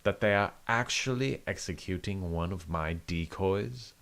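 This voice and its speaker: A man playing a confident, menacing, condescending Duke in an audio drama, speaking deliberately. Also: deep voice, creaky, a bit bright, exaggerated voice. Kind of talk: dramatized audio story acting.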